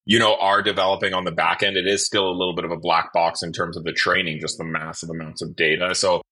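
A man's voice: low-pitched, level tone, quick pace, bright, forceful tone, breathy edge. A man hosting a podcast in a casual, conversational interview style. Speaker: podcast host. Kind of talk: casual interview chat and sign-off.

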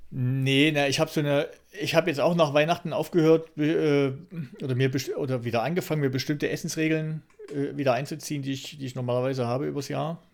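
A man co-hosting a podcast in casual banter, often haltingly repeating the openings of his phrases. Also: a little high, fairly even intonation, vocal fry. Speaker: podcast co-host.

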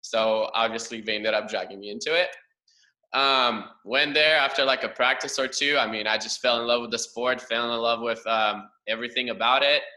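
A man's voice: medium pitch, steady tone, moderate pace, bright, forceful tone. A young man from Romania, a native Romanian speaker, telling his story as a guest in a casual interview. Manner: earnest, thankful, long winding answers. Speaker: guest, cheer assistant coach. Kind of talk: casual interview over a livestream.

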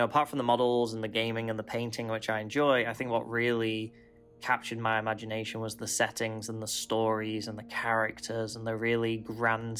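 A man's voice: lowish voice, fairly bright tone. A man who writes scripts, giving a casual, unscripted testimonial with a somewhat hesitant, loose delivery.

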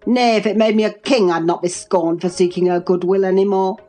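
A woman voicing a surly rustic young man from a novel, growling and gruff. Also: mid-range voice, steady tone, a little fast, dark tone, faint music behind, natural voice. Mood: indignant. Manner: growling, uncompromisingly gruff, cursing.

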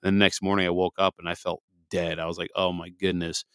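A man from Michigan hosts a scripture podcast while congested from a cold. His voice sits low and stays smooth, and the close mic is recorded dry. He rambles with many fillers, then slows down to read scripture deliberately. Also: little pitch movement, no room sound. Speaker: podcast host. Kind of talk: scripture reading with casual commentary.

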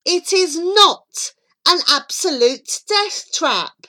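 Woman as a bossy, high-voiced storybook girl. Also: big pitch swings, fairly bright tone, heavily acted. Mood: dismissive. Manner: emphatic, coaxing, brisk. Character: bossy, confident young girl, persuasive schemer. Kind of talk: children's storybook character voice.

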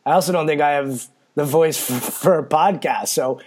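A man with a raspy voice hosting a podcast, asking questions in a friendly, self-deprecating way. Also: a little high, moderate pace, darkish tone. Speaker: podcast host. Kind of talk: casual interview talk.

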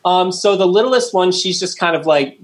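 A man co-hosting a casual podcast chat, speaking in a relaxed way with plenty of ums and likes. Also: high-pitched, normal speed. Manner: chatty, many ums and likes. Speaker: podcast co-host.

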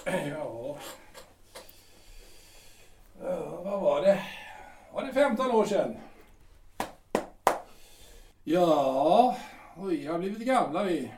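Man voicing a boastful aging army captain, high lively voice, roomy and distant. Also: pauses often, noisy background, lightly acted. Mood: bickering. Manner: bluff, boastful, sarcastic. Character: blustering aging artillery captain husband. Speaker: Edgar. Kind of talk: audio drama acting.

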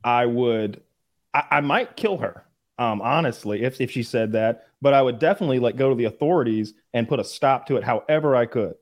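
A man's voice: mid-range voice, a little fast. A man hosting a casual podcast debate, talking bluntly with an incredulous, mocking manner. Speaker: podcast host. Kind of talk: casual argumentative podcast chat.

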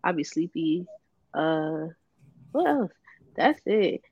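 Hoarse slangy woman podcast co-host. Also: sits low, very animated, takes it slowly, no room sound, dull audio. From New Jersey. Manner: slangy, easygoing, laughs often. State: hoarse, recovering from illness. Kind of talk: casual chat.

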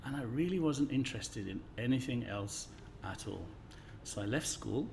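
Man in his 60s telling stories, measured. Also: medium pitch, lively intonation, gravelly, noisy background. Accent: neutralised English. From England, Midlands. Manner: friendly, anecdotal, measured. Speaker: guest. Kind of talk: conversational interview storytelling. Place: office.

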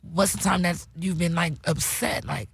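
A woman from California with a raspy voice, hosting a casual podcast chat and telling loose, slangy stories with many restarts. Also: on the low side, moderate pace, no echo, clean studio sound. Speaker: podcast host.

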